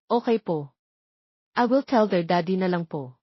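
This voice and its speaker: A woman's voice: fairly low pitch, expressive, a little slow, slightly rough. A woman voicing an innocent young nanny, deferential and earnest, in a romance story. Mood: polite, concerned. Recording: muffled lo-fi, roomy echo.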